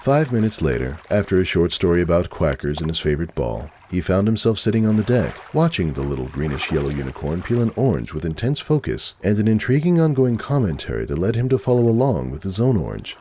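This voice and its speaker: Deep-voiced man narrating with animated intonation. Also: fast, few pauses, a little hiss or hum. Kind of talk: dramatic scripted story narration.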